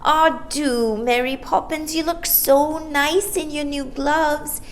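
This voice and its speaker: A woman's voice: high-pitched, steady tone, slow, voice pushed up. A woman voicing an eager, wheedling young boy in a children's storybook.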